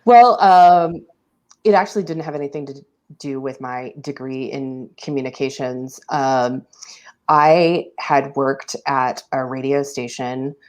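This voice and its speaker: A woman in her forties from Oklahoma with a strikingly deep voice, answering interview questions. She speaks plainly and steadily and often pauses on an um. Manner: plain-spoken, steady, frequent ums. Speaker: radio station executive director, guest.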